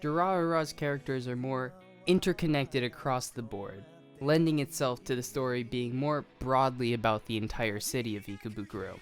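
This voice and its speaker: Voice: mid-range voice, moderate pace. Conversational anime video essayist over music. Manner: conversational with self-aware asides. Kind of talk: scripted commentary on anime. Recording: music behind the voice.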